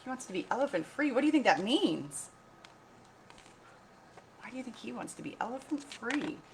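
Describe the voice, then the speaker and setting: A woman reading a picture book aloud like a teacher, pausing to explain, ask questions and repeat key points. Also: fairly high pitch, big pitch swings, a little hiss or hum. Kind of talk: read-aloud storytelling with explanatory asides.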